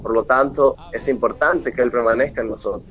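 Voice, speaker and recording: A man's voice: medium pitch, fairly even intonation, fairly quick, fairly bright tone, husky. A Venezuelan man of twenty-one speaking earnestly, with preacher-like declarations, as an interview guest. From Mérida, Venezuela. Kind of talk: radio interview, devotional testimony. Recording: dull lo-fi remote link, music behind. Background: music.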